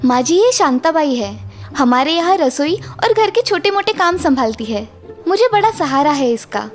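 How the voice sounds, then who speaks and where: A woman's voice: high voice, sing-song, moderate pace, soft background music. A woman voicing a polite, trusting young housewife in a cartoon story. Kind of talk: Hindi cartoon story voice acting.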